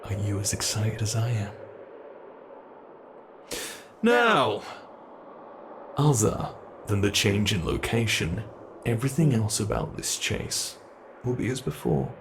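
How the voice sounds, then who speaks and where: A man's voice: lowish voice, sing-song, noisy background. A man voicing a gleeful, predatory monster from under the bed in a horror ASMR roleplay, theatrical and taunting as he addresses the listener. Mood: eager, threatening. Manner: theatrical, taunting, slow and relishing.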